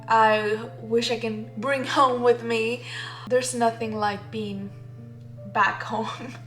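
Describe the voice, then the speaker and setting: A woman's voice: fairly high pitch, fairly bright tone. A young woman from the Dominican Republic, talking candidly and laughing often as she shares her painting process in a casual vlog. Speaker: painting vlogger. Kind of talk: casual reflective vlog narration. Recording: light music behind.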